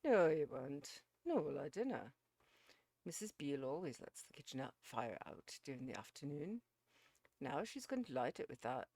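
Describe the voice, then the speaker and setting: A woman's voice: deep voice, creaky, no echo, heavily acted. A woman voicing a tranquil male hired hand, telling his tale colloquially and without hurry. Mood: matter-of-fact. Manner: calm, unhurried, colloquial storytelling. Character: tranquil, rambling working-class English hired man, male servant.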